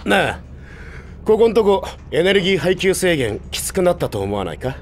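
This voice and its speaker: An actor playing a blunt, insistent young male android rebel who talks roughly and casually. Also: sits high, big pitch swings, moderate pace, slight background noise. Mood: conspiratorial. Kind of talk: Japanese audio drama acting. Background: background music.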